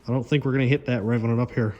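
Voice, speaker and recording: A man's voice: mid-range voice, fairly even intonation, very fast, a little mellow, echoey room, dull audio. A man chatting casually as he hosts a DIY car build vlog, thinking aloud and muttering to himself as he works.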